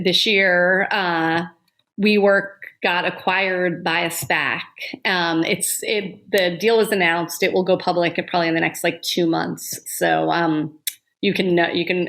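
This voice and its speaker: A woman with a lowish voice and strong vocal fry explains as a reporter guest. Her delivery is casual and chatty, full of fillers and "like". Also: a bit bright. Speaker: journalist guest. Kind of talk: explanatory interview answers.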